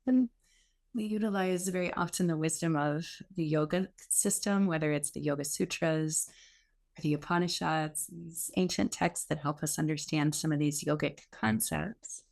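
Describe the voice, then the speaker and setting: A woman teaching guided meditation in an unhurried, reassuring, gently instructive way with pauses. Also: low-pitched, gravelly.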